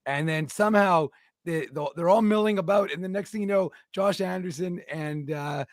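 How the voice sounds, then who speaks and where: A man's voice: high-pitched, normal speed, no room sound, clean studio sound. A man co-hosting a casual hockey talk show, rambling with lots of restarts. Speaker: podcast co-host.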